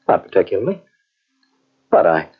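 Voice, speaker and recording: An actor playing a brave man in an old-time radio drama, speaking in an earnest, controlled, halting way. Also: low voice, sing-song, husky, faint music behind, exaggerated voice. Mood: wary, uneasy. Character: brave male companion trapped in a tomb, quietly reassuring. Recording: dull, muddy old cassette radio recording.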